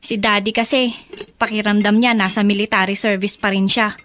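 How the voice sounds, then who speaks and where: A woman with a Filipino accent playing an outspoken, caring young daughter in a radio drama, earnest and expressive. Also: medium pitch, brisk, lightly acted. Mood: sympathetic, indignant.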